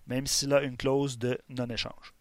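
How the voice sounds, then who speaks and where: A man's voice: mid-range voice, level tone, unhurried. A man hosting a hockey show, reading listener trade ideas with many fillers. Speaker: radio show host.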